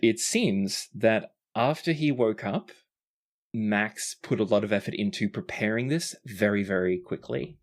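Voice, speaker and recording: A game master runs a tabletop story in a mid-pitched voice. The delivery is conversational storytelling, describing the scene and briefly voicing the characters along the way. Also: unhurried.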